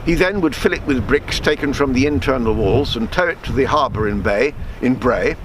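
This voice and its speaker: A man reading his own written story aloud in a measured storytelling manner, with small stumbles and self-corrections. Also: mid-range voice, a little hiss or hum, poor audio.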